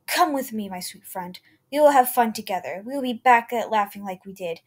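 A woman voicing a menacing male toy maker who turns from calm to raving and growls his threats. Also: fairly high pitch, a little fast. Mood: sinister.

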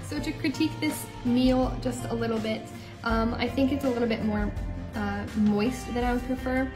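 A young woman hosting her own home cooking show, talking through each step in a relaxed, chatty way with small asides. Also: fairly high pitch, normal speed, over music. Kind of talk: casual cooking voice-over. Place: home kitchen.

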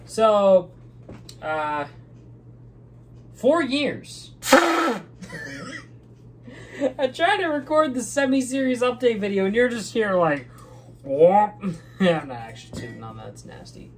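A loud, excitable young man co-hosting a casual channel update in a small green-screen room, laughing often. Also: high-pitched, slow pace, pauses often, slight background noise. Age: young adult. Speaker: channel co-host. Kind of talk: casual chat.

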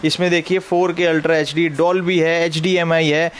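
A man with a Delhi Hindi accent and a high voice gives a brisk, persuasive sales pitch. He walks through a big electronics warehouse indoors and keeps calling the listener sir. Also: flat delivery, a little hiss or hum. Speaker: shop salesman.

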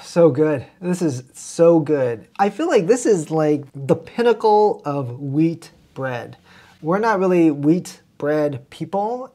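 A man's voice: on the high side, mellow. A man in his thirties from the United States, co-hosting a travel vlog, chatting casually and enthusiastically about food with many fillers and restarts, on a lapel mic indoors. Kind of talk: casual food recommendations to camera. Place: indoor home room with plants.

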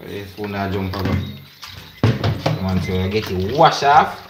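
A young Jamaican man banters casually over his cooking in a fairly low voice. The phone recording is echoey and noisy, made in a home kitchen. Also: measured pace. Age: young adult. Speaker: home cook.

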